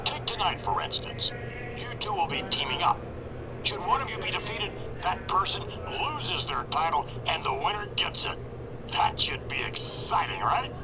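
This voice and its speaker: A man's voice: on the high side, big pitch swings, moderate pace. A man playing a smug, scheming wrestling show authority with a taunting manner in a video game. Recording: heavy background noise.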